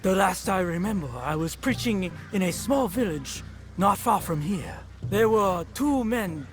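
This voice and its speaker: A man's voice: sits high, animated, a little slow. A man playing a shaken travelling preacher in a video game cutscene. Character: shaken travelling village preacher recalling an attack. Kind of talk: dramatic game cutscene acting. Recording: faint music behind the voice.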